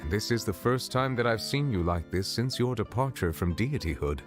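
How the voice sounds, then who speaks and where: Male actor as reserved, kindly judge for a video game: low register, measured, eloquent, varied intonation. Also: expressive, close to an everyday voice. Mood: earnest, approving. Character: dignified, courteous male chief judge, reserved yet kindly. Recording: music behind the voice.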